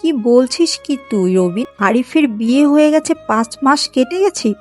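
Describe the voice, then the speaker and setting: Woman acting high, scolding old village auntie. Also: animated, moderate pace, darkish tone, faint music behind. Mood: indignant. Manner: insistent, scolding, questioning. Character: bossy, kind-hearted elderly village auntie, scolding neighbour. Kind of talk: scripted moral-story cartoon dialogue.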